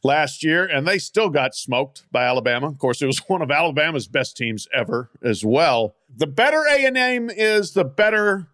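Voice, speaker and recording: A man's voice: a little high, bright, forceful tone. A man co-hosting a sports podcast, making his points emphatically in casual chat. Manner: emphatic, argumentative. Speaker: podcast co-host.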